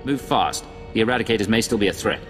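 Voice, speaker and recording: An actor playing a cool, controlled imperial spy who speaks curtly. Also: male voice, lowish voice, fast, in a large hall, music in the background, natural voice. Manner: curt, controlled. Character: cool male imperial spy, calm and curt. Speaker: agent.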